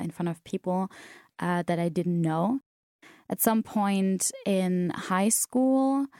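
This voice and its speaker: A young woman with a lowish voice, talking in a relaxed, chatty way, full of "like" and "uh". Her first language is German, and her English carries that. The recording is close and dry. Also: no echo. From South Tyrol, Italy. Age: young adult. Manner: chatty, many fillers and restarts. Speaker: interviewee. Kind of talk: casual conversational storytelling.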